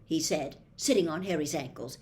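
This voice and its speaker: A woman narrating a children's novel aloud, reading expressively and dropping to a hush in quiet moments. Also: deep voice, moderate pace. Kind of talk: storybook read-aloud.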